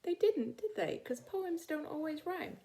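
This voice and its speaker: A woman's voice: high voice, animated, measured pace, warm tone. A middle-aged woman reading a picture book aloud to children, talking simply and directly to her young listeners. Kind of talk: read-aloud storytime for children.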